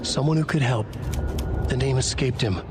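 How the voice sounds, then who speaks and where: An actor playing a brooding, solemn narrator of a horror story in a video game, with measured noir-like delivery. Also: male voice, mid-range voice, background noise, lightly acted. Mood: brooding, ominous. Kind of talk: scripted dramatic narration. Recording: music behind the voice.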